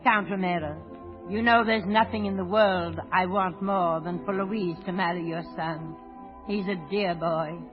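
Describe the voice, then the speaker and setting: An older actress playing an anxious, devoted mother, speaking with halting, earnest emotion in old radio drama style. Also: lowish voice, fairly even intonation, close to an everyday voice. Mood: earnest, emotional. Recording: muffled old radio broadcast with music.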